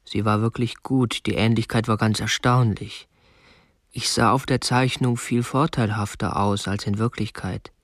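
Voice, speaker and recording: A man's voice: fairly low pitch, fairly even intonation, a little slow, dry recording, clean studio sound. An actor playing a prim, unremarkable man in his twenties, a captor narrating matter-of-factly in a radio drama. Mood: detached recounting. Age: 20s. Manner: matter-of-fact, stiffly proper. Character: prim, unremarkable 27-year-old male captor, petty-bourgeois butterfly collector. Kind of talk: first-person narration and dialogue in a radio drama. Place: dry studio.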